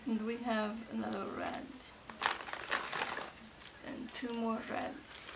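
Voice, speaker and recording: A woman acts out a sweet monster doll girl with a high voice and a foreign accent. She speaks slowly and eagerly in simple, broken English for an ASMR role play. The recording is very noisy, with crinkling. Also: huge pitch swings, creaky, exaggerated voice. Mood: calm, absorbed. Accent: put-on foreign accent. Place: indoor room, seated on floor. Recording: noisy distant mic with crinkling.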